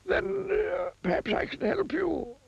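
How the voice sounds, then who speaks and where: A high, wordy storybook wizard. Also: male voice, lively intonation, measured pace, creaky, dry recording, lo-fi sound, full character voice. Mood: pompous, stern. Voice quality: high, fluttery, long-winded. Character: wordy, pompous old male wizard.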